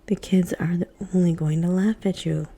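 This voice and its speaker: A woman's voice: on the low side, steady tone, normal speed, warm tone, slightly rough. A woman whispering as a mocking little sister. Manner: mocking, dismissive. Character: teasing bratty little sister, young girl.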